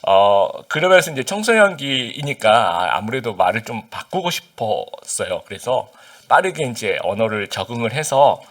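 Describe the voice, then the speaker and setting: A man's voice: mid-range voice, sing-song, moderate pace, a bit bright. A man who is a pastor from Busan, with a slight Busan accent under standard Seoul speech, giving a conversational sermon full of fillers and questions to the congregation. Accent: slight Busan dialect under standard Seoul Korean. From Busan, South Korea.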